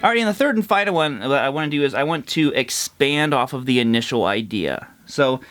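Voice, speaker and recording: A man's voice: mid-range voice, expressive, fairly quick, a bit bright. A man teaching a casual guitar lesson, congested and nasal, counting fret numbers as he plays. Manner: explains while playing, counts fret numbers.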